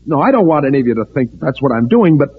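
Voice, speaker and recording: An actor playing a folksy, pushy newcomer who speaks haltingly and insistently in an old radio drama. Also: male voice, mid-range voice, big pitch swings. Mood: agitated, insistent. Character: folksy, pushy newcomer man stirring up alarm at meeting. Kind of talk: 1940s-50s radio drama acting. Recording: tinny, band-limited old radio recording.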